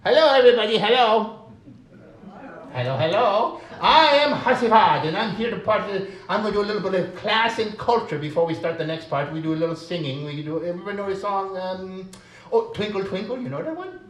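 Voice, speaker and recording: A man's voice: high voice, brisk, voice pushed up, close to an everyday voice. A man voicing a boastful, vain male shadow puppet who greets the audience cheerfully and showily. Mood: cheery. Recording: echoey room, distant mic.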